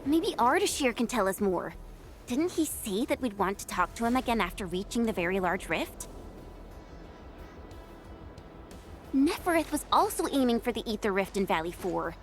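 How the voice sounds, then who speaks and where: An actress voicing an upbeat, curious young woman companion in a video game, talking in a casual, expressive way. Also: fairly high pitch, a little fast, soft background music.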